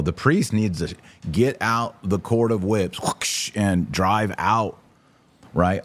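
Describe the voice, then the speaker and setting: Low-voiced male podcast host. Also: a little mellow. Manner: informal, opinionated, emphatic, slangy. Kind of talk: casual livestream commentary, answering viewer comments.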